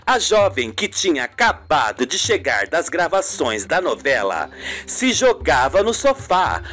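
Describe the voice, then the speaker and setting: A woman reading scripted narration for a radio serial as its narrator. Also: deep voice.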